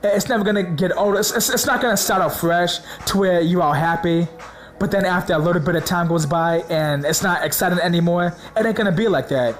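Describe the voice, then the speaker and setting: A man preaching in a casual, slangy way, hoarse from a sore throat, excited and repeating words for emphasis. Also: high voice, a little fast. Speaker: religious video host.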